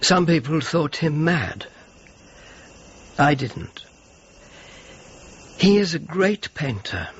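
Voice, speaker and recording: A grave, careful friar in a radio play, with a fairly high voice and very lively intonation. He hesitates as he speaks, sounding solid and discreet, on a slightly muffled recording. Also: slow, pauses often, breathy edge, heavily acted. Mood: measured, solemn. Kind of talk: radio drama dialogue. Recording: dull lo-fi radio drama, faint noise.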